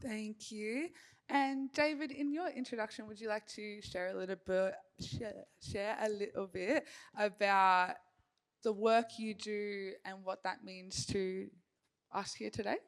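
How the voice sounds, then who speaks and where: Woman moderator, smooth clear voice, friendly, mid pitch. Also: fairly even intonation, a little slow. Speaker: panel moderator. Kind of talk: hosting a panel discussion.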